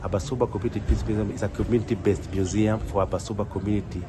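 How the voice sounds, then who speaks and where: A man with a Kenyan accent giving a guest presentation, haltingly, often repeating and rephrasing his words. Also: fairly low pitch, fairly even intonation, noisy background. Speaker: guest speaker, museum curator. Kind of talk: presentation on a live online event.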